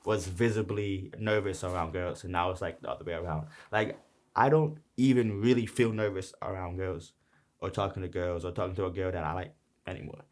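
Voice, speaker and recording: Young man, New York accent, somewhat low voice, laid-back, rambling, casual lyric breakdown. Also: brisk. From Brooklyn, New York. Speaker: rapper explaining his song.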